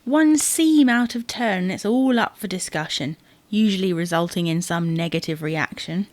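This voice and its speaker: A woman's voice: lowish voice, normal speed, darkish tone, no room sound, natural voice. A woman playing a fussy, exasperated wife who bickers insistently in a domestic audio sitcom. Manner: bickering, insistent, theatrically indignant. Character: fussy, exasperated wife fretting over wedding clothes.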